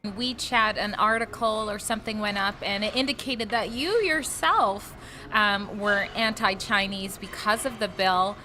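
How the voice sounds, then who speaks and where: A woman interviewer asking measured, probing questions. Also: medium pitch, pushed and bright. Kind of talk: news interview. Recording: clip with noisy ambience.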